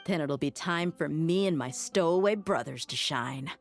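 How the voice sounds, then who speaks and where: Bold, low-voiced mercenary woman. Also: normal speed, faint music behind. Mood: confident, eager. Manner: casual, assertive. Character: bold, confident female desert mercenary leader. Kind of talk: scripted game dialogue.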